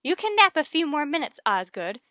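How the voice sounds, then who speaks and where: An actress playing a middle-aged, breezily bossy wife and gushing hostess in a vintage radio comedy. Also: female voice, sits high, very animated, a little fast, bright, forceful tone, no echo, clean studio sound, exaggerated voice. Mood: brisk, hospitable. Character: chirpy, managing middle-aged wife of the principal.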